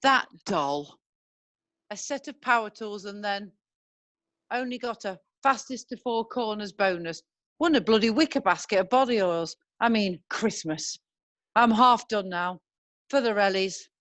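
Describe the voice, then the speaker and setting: A woman's voice: mid-range voice, expressive, unhurried, fairly bright tone. Woman reading a blunt, drawling school mum. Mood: rambling. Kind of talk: amateur online play reading.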